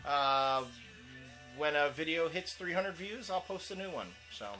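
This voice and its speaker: A man's voice: a little high, unhurried, bright, forceful tone, soft background music. A man, co-hosting a casual comics chat show, rambling with plenty of fillers. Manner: rambling, laughs often, many fillers. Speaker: co-host.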